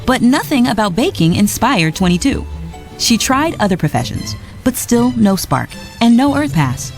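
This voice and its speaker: A woman narrating a storybook read-along in a lively, expressive way, with soft music behind. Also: fairly high pitch, moderate pace.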